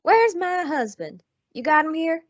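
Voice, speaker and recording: A woman's voice: very high voice, big pitch swings, unhurried, heavily acted. A woman playing a bitter, vengeful rancher's wife in an old-time radio western, blunt and accusatory in folksy frontier speech. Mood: impatient, demanding. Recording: dull lo-fi radio drama recording.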